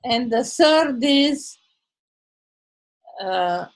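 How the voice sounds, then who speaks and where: Older woman on a video call, high voice, talks slow, hunts for words. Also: expressive. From Transylvania, Hungary. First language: Hungarian. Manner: halting, searches for words, non-native grammar. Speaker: Toastmasters member and blogger. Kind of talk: casual video call chat.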